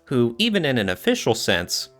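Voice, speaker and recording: A man's voice: mid-range voice, wildly swooping pitch, normal speed, a bit bright, faint music behind. A man co-hosting a podcast, explaining things in a casual, thinking-aloud way. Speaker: podcast co-host.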